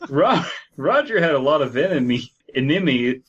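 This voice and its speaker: A man's voice: on the high side, animated, moderate pace, a bit bright, slightly rough, highs cut off. A man hosting a podcast, chatting casually with co-hosts and reading a story aloud. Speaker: podcast host.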